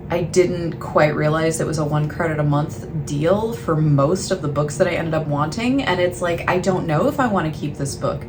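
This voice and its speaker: A woman with a fairly low voice talks casually to her viewers like a book vlogger. She rambles in a self-deprecating way and lets thoughts trail off. Clear ambient noise runs behind her voice. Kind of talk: casual chatty vlog talk to camera. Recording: clearly noisy, ambient background sound.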